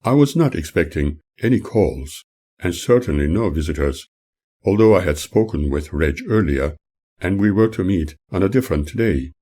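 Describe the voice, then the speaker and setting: An older man, the author, reading his own memoir aloud as audiobook narration in a measured, formal manner. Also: sits low, lively intonation, mellow, husky.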